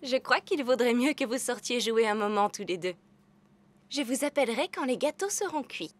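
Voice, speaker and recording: High-voiced, lively motherly elf. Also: normal speed. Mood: affectionate. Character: affectionate, patient elf mother.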